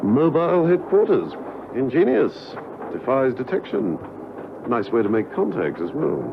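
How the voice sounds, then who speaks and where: A man playing a debonair, flippant gentleman spy with an urbane, wry, clipped delivery in a radio drama. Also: mid-range voice, huge pitch swings, unhurried, creaky, heavily acted. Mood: wry interest. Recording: noisy muffled vintage radio recording.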